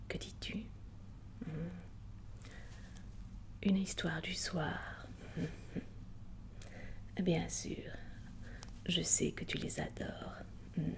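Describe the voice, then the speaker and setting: A woman playing a gentle bedtime storyteller in an ASMR roleplay, whispering with a creaky edge. She is tender and indulgent, as if settling a child to sleep, and takes her time over every phrase. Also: on the low side, flat delivery, exaggerated voice. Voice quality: whispered, breathy with creaky edges. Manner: soft, unhurried, tender.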